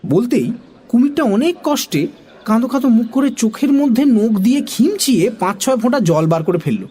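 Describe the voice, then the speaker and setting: A woman narrating a children's story in an expressive, dramatic storytelling style. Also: fairly high pitch, normal speed, slightly rough.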